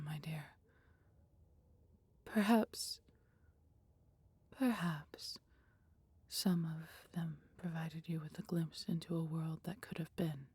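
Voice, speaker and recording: Woman acting as a female dragon, husky whisper, dark mellow tone, tender, regal, protective, intimate fantasy audio roleplay. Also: sits low, dry recording, exaggerated voice. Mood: pensive, tender. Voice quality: breathy, whispery. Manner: slow, old-fashioned, gently formal endearments.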